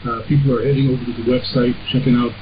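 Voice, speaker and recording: A man hosting an informal shortwave radio broadcast, reading announcements in a halting way with frequent uhs and pauses. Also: mid-range voice, level tone. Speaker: radio host. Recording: hissy, muffled shortwave radio reception.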